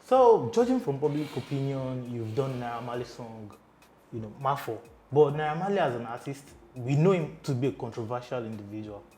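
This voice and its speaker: A man's voice: mid-range voice, expressive, brisk, dark tone, a little hiss or hum. A man interviewing a guest, asking questions and affirming the answers with frequent hums. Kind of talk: conversational interview.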